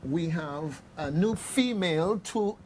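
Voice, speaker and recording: A man with a fairly high voice hosts an unscripted radio discussion. He talks conversationally, halting and repeating phrases, in a studio with faint background noise. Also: lively intonation, darkish tone, rough recording. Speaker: radio host. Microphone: studio microphone.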